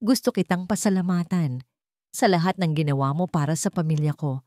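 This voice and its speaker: A woman's voice: lowish voice, brisk, warm tone, dry recording, studio quality, close to an everyday voice. Woman voicing an earnest, tender man in love. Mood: earnest, pleading. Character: remorseful grown man, earnest, tender widowed father in love. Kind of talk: audio storybook romance acting.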